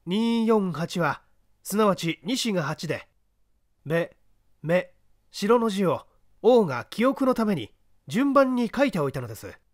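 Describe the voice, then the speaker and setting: A coolly assured detective, played by a male reader, speaks in a high, lively voice. He gives polite orders and explains like a patient teacher, in storybook dialogue. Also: unhurried, pauses often, no echo, studio quality. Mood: confident, explaining. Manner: polite, commanding, didactic. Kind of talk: audiobook character dialogue.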